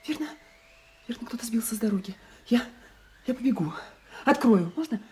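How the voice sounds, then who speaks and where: An actress playing a scolding, practical young peasant wife in a 1980s Soviet radio drama, reproachful and sighing, on a noisy old recording. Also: female voice, a little high, expressive, a little fast, lightly acted. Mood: anxious.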